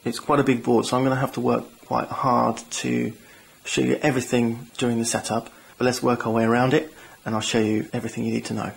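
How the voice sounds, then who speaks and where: A man's voice: medium pitch, flat delivery, fairly quick, gravelly, low-quality recording. A man hosting a board game channel, walking viewers through setup and rules in a chatty, enthusiastic way with self-deprecating asides. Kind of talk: tabletop game rules walkthrough.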